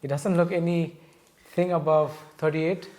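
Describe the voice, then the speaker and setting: Teasing forty-something host, a bit high. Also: male voice, steady tone, measured pace, darkish tone. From India. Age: 40s. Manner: teasing, chuckling. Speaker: podcast host. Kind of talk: casual chat.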